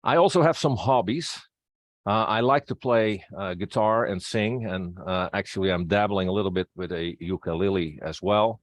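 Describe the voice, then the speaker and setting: A middle-aged man with a Dutch accent speaks in a low voice. He is a native Dutch speaker presenting a personal introduction to a group. The recording is dry, with little room sound. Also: normal speed, no room sound. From Netherlands. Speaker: presenter, district governor-elect and pastor.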